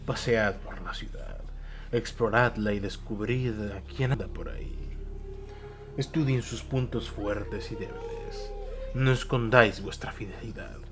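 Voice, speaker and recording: Man acting as proud, commanding warlord, slow delivery. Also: on the low side, wildly swooping pitch, background noise. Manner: commanding, scornful. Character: proud, defiant warlord commanding his champions, male. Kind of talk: dramatic audiobook acting.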